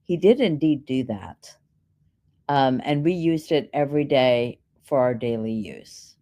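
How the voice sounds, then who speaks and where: Female keynote speaker, deep and soft-toned, instructional Q&A delivery, walks through points methodically. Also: lively intonation, a little slow. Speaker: keynote speaker answering audience questions. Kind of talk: explanatory conference Q&A.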